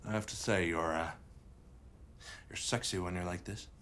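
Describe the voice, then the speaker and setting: An actor playing an awkward, flirtatious man who talks in a halting way. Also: low voice, fairly even intonation, a bit bright. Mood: awkwardly flirty. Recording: faint background noise.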